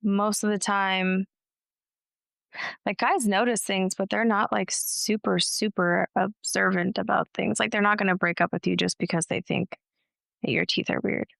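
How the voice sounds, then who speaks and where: A young woman co-hosting a casual chat, reflective and agreeable, slowing down when she explains her point. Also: mid-range voice, level tone, vocal fry, fairly bright tone, no echo.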